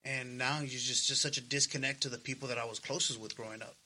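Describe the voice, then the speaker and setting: Bright-voiced, slangy man in his thirties. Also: medium pitch, fairly even intonation, quick pace, rough recording. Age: 30s. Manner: slangy, earnest, swearing freely. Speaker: podcast co-host. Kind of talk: casual personal chat.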